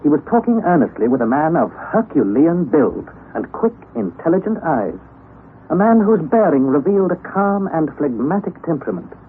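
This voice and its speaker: An actor playing an earnest young nephew, narrating an old-time radio drama in a measured, precise, emphatic way. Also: male voice, fairly high pitch, very animated. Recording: noisy, tinny vintage radio recording.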